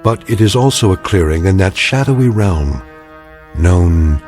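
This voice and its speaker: An actor playing the wry, portentous narrator of an eerie radio drama, speaking with deliberate menace. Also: male voice, low-pitched, lively intonation, over loud music. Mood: ominous, wry. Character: ominous, wry male narrator of uncanny tales.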